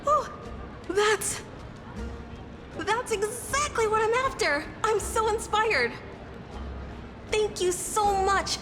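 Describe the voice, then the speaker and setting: Very high-pitched, gushing young poet girl. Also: slow, long pauses, noisy background. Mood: gushing, grateful. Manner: gushing, earnest. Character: excitable young female poet, sweet and grateful. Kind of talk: anime-style video game voice acting. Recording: loud music behind. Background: music.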